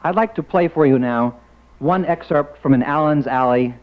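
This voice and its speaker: A man's voice: on the high side, normal speed, narrow, tinny audio, a little hiss or hum. A man, a guest on a radio talk show, conversationally introducing archive clips as an enthusiast.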